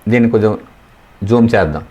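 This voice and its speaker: A man's voice: on the low side, fast, darkish tone, highs cut off. A man with a Telugu accent working through exam questions as a coaching teacher, explaining informally and repeating key terms.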